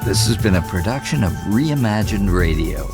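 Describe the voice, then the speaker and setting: Male announcer, low voice, animated intonation, scripted sign-off, clear music bed. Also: normal speed. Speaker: radio announcer.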